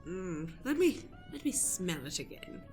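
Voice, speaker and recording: A woman's voice: fairly low pitch, big pitch swings, unhurried, a little mellow, faint music behind. A woman playing a smug, flirtatious male suitor in an improvised radio play, with affectedly refined, suggestive phrasing.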